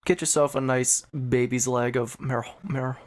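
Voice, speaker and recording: Man, mid-pitched voice, casual podcast banter, joking, profane. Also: steady tone, measured pace. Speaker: podcast co-host.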